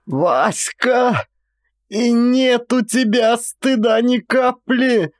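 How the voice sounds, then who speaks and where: Male actor as old Cossack mother, high cracked voice, slow bitter scolding, audiobook drama, dry sound. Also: dry recording, heavily acted. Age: old woman figure. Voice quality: rattling, cracked voice. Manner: scolding, wailing, drawn-out. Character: furious shrill old Cossack mother scolding her son.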